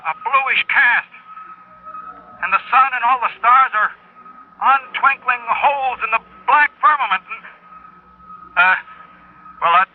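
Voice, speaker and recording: Brooding spacecraft commander, highish voice, old radio drama, muffled with hiss. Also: male voice. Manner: clipped, cold, brooding. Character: tense brooding male astronaut pilot. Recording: dull old radio recording, faint hiss.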